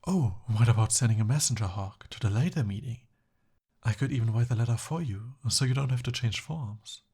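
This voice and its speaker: A man playing a smooth-talking, eager young captive prince in an ASMR roleplay, with formal, courtly and hesitant phrasing. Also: medium pitch, fairly quick, a little mellow. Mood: pleading.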